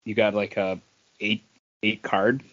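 A man's voice: lowish voice, level tone, measured pace, a bit bright, dull audio. A man co-hosting a podcast, chatting casually about games. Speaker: podcast co-host.

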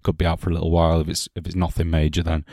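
A man's voice: deep voice, monotone, very fast, warm tone, no room sound, studio quality. A man hosting a football podcast, chatting in a rambling, jokey way, with a cough this week. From England. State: has a cough. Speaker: podcast host. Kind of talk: casual football podcast chat.

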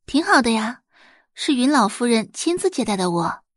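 A woman's voice: on the high side, very animated, normal speed, fairly bright tone, no room sound, clean studio sound, voice pushed up. A woman voicing a poised young heiress and doctor who talks sweetly and confidently in an audio drama.

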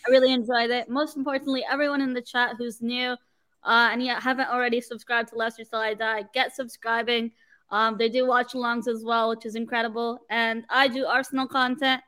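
A woman's voice: on the high side, little pitch movement, fairly quick, a bit bright. A woman from Canada, a guest on a football fan show, chatting casually about a match with many fillers and restarts.

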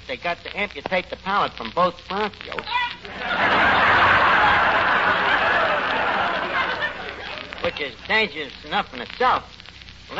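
Pompous, melodramatic New York wiseguy. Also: male voice, high-pitched. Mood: indignant. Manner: melodramatic, malaprop-prone, pompous. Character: self-pitying, grandiose tavern manager, a lowbrow wiseguy. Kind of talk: 1940s radio comedy acting. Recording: noisy, dull 1940s radio recording.

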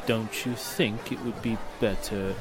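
An actor playing a solicitous, courteous businessman in a 1940s-style radio drama, speaking in a measured way. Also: lowish voice, steady tone, close to an everyday voice. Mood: gentle, concerned. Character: solicitous gentleman business partner, courteous and gentle.

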